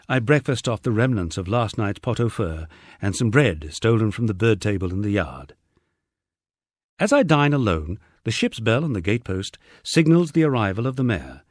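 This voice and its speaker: A man's voice: on the low side, no room sound. A man reading a first-person memoir as an audiobook, in a wryly understated storytelling manner. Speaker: audiobook narrator.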